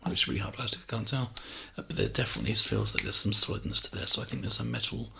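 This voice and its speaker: A man doing a casual, reassuring ASMR sales role play, speaking softly and chattily into a close binaural microphone. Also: fairly low pitch, fairly even intonation, very fast. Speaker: ASMR performer playing a personal shopper. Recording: close binaural mic, clear background hiss.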